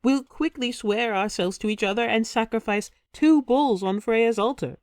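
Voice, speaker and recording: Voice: medium pitch, normal speed, darkish tone, dry recording, studio quality, pitched above the performer's own voice, close to an everyday voice. A storyteller voicing a passionate, immortal warrior queen, in a raised, ardent tone, in a storybook reading. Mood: eager. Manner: pleading, ardent.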